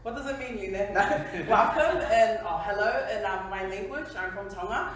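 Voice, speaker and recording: A woman's voice: mid-range voice, moderate pace. A woman in her twenties from New Zealand telling her personal story to an audience at an event, chatty and laughing at herself. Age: 20s. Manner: chatty, laughing, self-deprecating. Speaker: speaker at a company event. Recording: echoey hall from far off.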